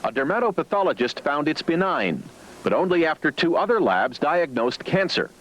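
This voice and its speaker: Male documentary narrator with lively, swooping delivery. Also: fairly high pitch, normal speed, poor audio. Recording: music bed under voice. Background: loud music.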